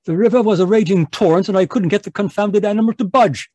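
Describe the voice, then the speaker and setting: A man playing a genial, rambling, fussy gentleman doctor in a radio mystery, hesitant and proper, performed over a video call. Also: sits high, quick pace, no room sound. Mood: chatty, sheepish. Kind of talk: 1940s radio mystery acting.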